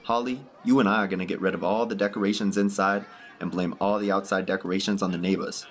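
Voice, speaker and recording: A man playing a brisk, bossy ringleader hatching a scheme in a radio drama. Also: low voice, steady tone, a little hiss or hum, natural voice. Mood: conspiratorial. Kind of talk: school radio drama acting.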